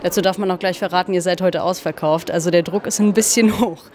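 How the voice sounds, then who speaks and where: A woman interviewing festival guests, asking questions in a brisk, friendly way. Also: fairly low pitch, steady tone, a little mellow. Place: indoor room at a festival. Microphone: handheld mic.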